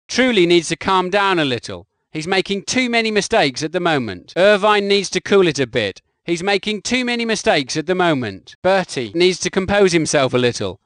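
A man gives matter-of-fact race analysis in a high, lively voice. Each line sounds recorded in a studio booth, close to the mic. The sound is dry. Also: dry recording. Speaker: co-commentator. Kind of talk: recorded game commentary lines.

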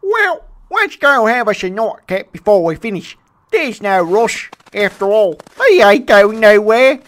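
A man voicing a rough, careless gravedigger in a storybook reading, loud and offhand. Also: high voice, very animated, a little slow, pitched above the performer's own voice, heavily acted. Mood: jovial.

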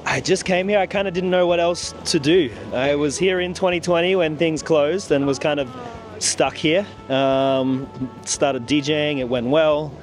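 A man, answering interview questions outdoors into a handheld mic in a relaxed way, thinking aloud. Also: a little high, moderate pace, soft background music. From Australia. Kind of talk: casual street interview. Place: outdoors on a beach. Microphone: handheld mic held by interviewer.

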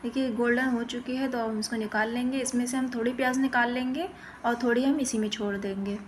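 Even, high-voiced woman narrating a recipe. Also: a little fast, a little hiss or hum. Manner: instructional, plain-spoken. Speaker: cooking channel host. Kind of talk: step-by-step recipe voice-over narration.

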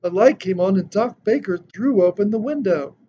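A man in his thirties reading a children's picture book aloud with expressive, dramatic storytelling. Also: high-pitched, normal speed. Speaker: storybook reader. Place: indoor decorated room. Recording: dull lo-fi sound with background noise.

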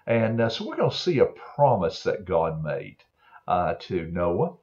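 An older man preaching a Bible story to children in a slow, earnest way, with many fillers and throat clearing, recorded on a webcam in a home office. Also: low-pitched, sing-song, darkish tone. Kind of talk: gentle storytelling sermon for children. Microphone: webcam on a desk. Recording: dull lo-fi webcam audio.

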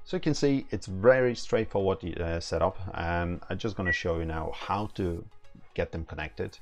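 A man's voice: low-pitched, normal speed, soft background music. A man in his thirties giving a casual, informal, step-by-step tutorial as a product demonstrator. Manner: informal, hands-on, step-by-step explaining. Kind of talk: casual tutorial, explaining setup steps.

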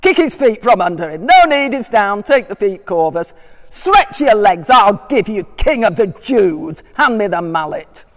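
An older woman reading aloud as brutal jeering male soldiers from a radio play, barking loud orders in a church with long reverb. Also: mid-range voice, very animated, unhurried, pitched above the performer's own voice.

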